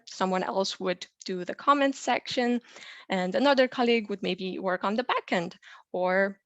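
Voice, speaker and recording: A young adult woman from Romania, a software engineer, presents a tutorial in a clear, explanatory way with frequent "uh" and "um" fillers. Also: medium pitch, no room sound, dull audio. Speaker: software engineer teaching a lesson.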